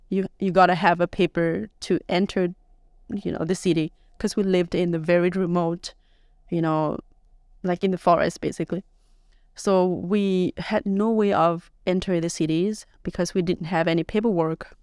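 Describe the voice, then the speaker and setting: A soft-spoken young woman who grew up on the Thailand-Burma border and whose first language is S'gaw Karen, telling her life story slowly and hesitantly as a podcast guest, with many pauses and fillers. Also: on the low side, fairly even intonation, no room sound, clean studio sound. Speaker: interview guest. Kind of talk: personal life story interview. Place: podcast studio.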